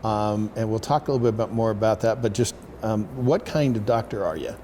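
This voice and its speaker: A man's voice: lowish voice, a little fast, mellow, slight background noise. A man hosting an interview show, chatting casually with many fillers and friendly questions. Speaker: talk show host.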